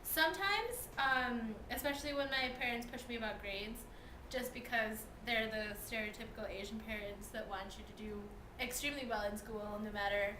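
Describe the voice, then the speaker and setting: 19 yr old girl from the philippines, chatty, kinda high voice, echoey noisy room. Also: moderate pace. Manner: chatty, laughs easily. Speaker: interviewee, student. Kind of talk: casual interview answers. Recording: echoey room with noticeable noise.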